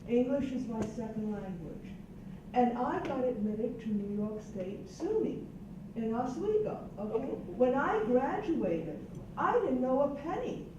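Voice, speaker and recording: A woman's voice: on the high side, big pitch swings, unhurried. Older Puerto Rican woman, echoey distant room. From Puerto Rico. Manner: earnest, reflective, emphatic. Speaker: roundtable participant. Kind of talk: panel discussion. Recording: distant echoey room mic, dull and noisy.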